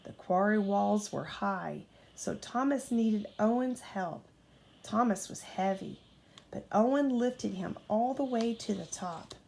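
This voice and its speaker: Female storyteller for children's bedtime book, unhurried and expressive, motherly role. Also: mid-range voice, vocal fry. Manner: expressive, acts out character dialogue. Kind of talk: children's storybook read aloud.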